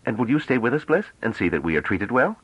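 A man reading a novel aloud, voicing a gentle, courteous older male scholar. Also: medium pitch, big pitch swings, quick pace, slightly rough, no echo, voice pushed up. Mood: mild. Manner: mild, courteous. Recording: dull lo-fi dry audio.

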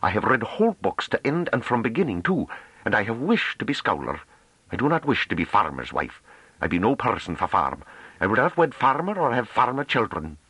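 A man's voice: on the low side, big pitch swings, a little fast, pushed and bright, slightly rough, dry recording, voice pushed up, full character voice, rough recording. A man voicing a young unschooled farm woman who speaks in broken dialect, bashful but fierce. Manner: broken dialect speech, earnest and pleading.

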